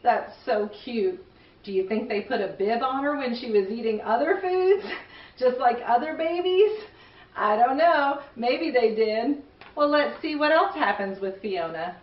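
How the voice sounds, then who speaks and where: A woman's voice: a little high, expressive, a little slow. A woman around her forties presenting playfully to young children in a gushing, exclamatory way. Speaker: children's read-aloud show host. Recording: roomy, distant, slightly dull sound.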